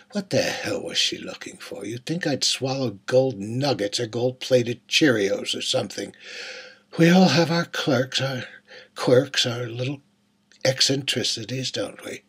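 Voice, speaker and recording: Man playing a husky, folksy, bitter young guy. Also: medium pitch, fairly bright tone, heavily acted. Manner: folksy, colloquial, rhetorical asides. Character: plain-talking, bitter, darkly joking young man of twenty-one. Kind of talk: dramatic monologue reading.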